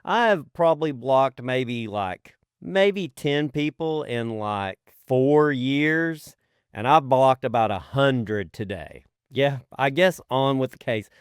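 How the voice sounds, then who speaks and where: A man's voice: medium pitch, animated, slow pace, smooth, dry recording, studio quality. A man co-hosting a podcast, talking casually in an informal, earnest way. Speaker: podcast co-host. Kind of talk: casual chat.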